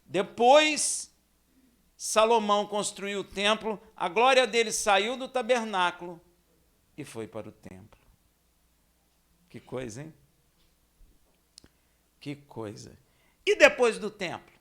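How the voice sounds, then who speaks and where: A man from Minas Gerais with a Brazilian accent, preaching and teaching scripture in a conversational way, with rhetorical questions, dramatic emphasis and hushed asides. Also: sits high, very animated, slow pace, pauses often. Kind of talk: expository sermon with scripture reading.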